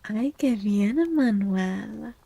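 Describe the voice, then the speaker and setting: Soft, creaky-voiced woman doing ASMR. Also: medium pitch, animated, extremely slow, no echo. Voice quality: soft, breathy, often dropping into a whisper. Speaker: live streamer doing tarot readings for chat.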